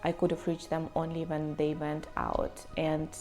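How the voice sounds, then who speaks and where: A young woman with a Ukrainian accent speaks to camera in a fairly low voice, halting and emotional, close to tears. She pauses often with fillers as she tells her story. Faint music plays behind her. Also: completely flat delivery, dark tone. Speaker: vlogger.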